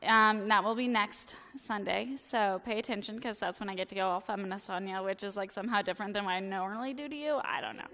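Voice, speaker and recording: A woman in her thirties, a priest, giving a casual, joking sermon with a hoarse, fading voice, chatty and self-deprecating with many filler words. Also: mid-range voice, quick pace, hardly any pauses, a bit bright. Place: church sanctuary. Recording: long church reverb.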